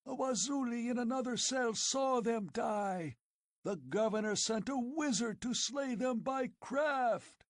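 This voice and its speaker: Shrieky furious old chief, way up high, loud and frothing. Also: male voice, animated, measured pace, dry recording, clean studio sound. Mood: furious accusing. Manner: shrill, accusing, frenzied shouting.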